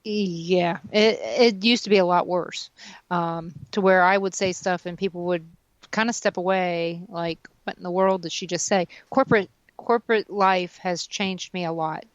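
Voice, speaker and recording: A woman's voice: fairly low pitch, steady tone, moderate pace, no echo, muffled recording. A woman in her mid-fifties, talkative and self-deprecating, chatting casually as a radio co-host. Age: 50s. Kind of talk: casual chat.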